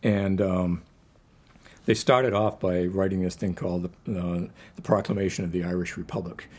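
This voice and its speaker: A man speaking informally as a poet at a live reading, rambling and self-deprecating, with a husky voice. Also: sits low, steady tone, moderate pace, darkish tone. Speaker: poet introducing and reading own poem. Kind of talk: informal live poetry reading introduction with anecdotes.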